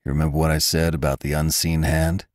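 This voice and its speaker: A man's voice: extremely low pitch, little pitch movement, fast, no echo, clean studio sound, pitched below the performer's own voice. A man voicing a grim, determined young cowboy hero in a western audiobook, speaking in a terse, decided way. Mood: bitter. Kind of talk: audiobook character acting.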